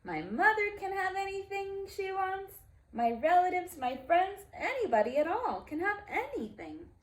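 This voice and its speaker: A woman voicing an excitable young male donkey in a storybook, earnest and emphatic. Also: very high voice, measured pace, pitched above the performer's own voice, exaggerated voice. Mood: delighted.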